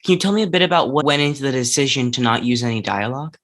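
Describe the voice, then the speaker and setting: A man hosting an interview podcast, asking prepared questions and reading a sponsor spot in an even, measured way. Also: medium pitch, slight background noise. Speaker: podcast host.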